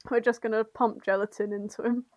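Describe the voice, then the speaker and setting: A woman's voice: fairly high pitch, level tone, brisk, no room sound, muffled recording. A woman chats through a story like she is among friends, rambling and irreverent. She is prone to giggles, and the recording is close and dry. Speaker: podcast co-host reading and summarising fan fiction. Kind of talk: casual comedic storytelling chat.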